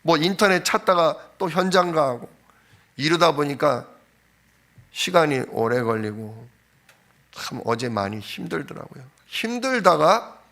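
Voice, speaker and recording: A man preaching in a conversational style, telling a personal story informally, slightly hoarse from a cold. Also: on the high side, expressive, measured pace, fairly bright tone. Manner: anecdotal, informal storytelling with frequent fillers. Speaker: pastor. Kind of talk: conversational sermon. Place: church sanctuary.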